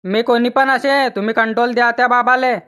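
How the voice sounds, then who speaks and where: A man's voice: extremely high pitch, level tone, brisk, sharp tone. A grumbling village husband out of a comedy cartoon, acted by a man. He speaks colloquially in a rural Maharashtrian accent, always ready to argue. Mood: indignant, argumentative. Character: grumbling, argumentative village husband with a drinking habit. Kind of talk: comic cartoon dialogue.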